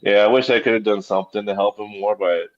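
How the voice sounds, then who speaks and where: A man with a low voice speaks as a guest on a lo-fi call with dulled sound. He is subdued and hesitant, talking casually and personally and often echoing his own short phrases. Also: steady tone, fast. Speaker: guest on an online community call. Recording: dull, lo-fi call audio.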